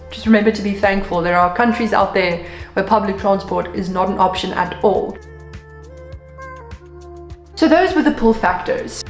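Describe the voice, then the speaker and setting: South african woman in her twenties, afrikaans is her first language, chatty and upbeat w lots of ums, mid pitch, roomy room. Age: 20s. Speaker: vlogger. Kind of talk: casual vlog talk to camera.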